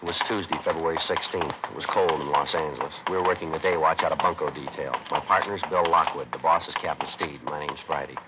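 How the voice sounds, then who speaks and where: An actor playing a terse, businesslike police detective sergeant who also narrates, in a clipped, matter-of-fact 1950s radio drama style. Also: male voice, very deep voice, steady tone, fast talker, few pauses. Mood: deadpan. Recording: noisy muffled 1950s radio recording.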